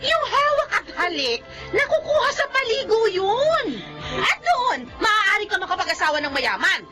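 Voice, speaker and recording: A woman's voice: very high-pitched, big pitch swings, moderate pace, over music. A woman playing a cruel, abusive older aunt in a Filipino radio drama, scolding, threatening and taunting. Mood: scornful.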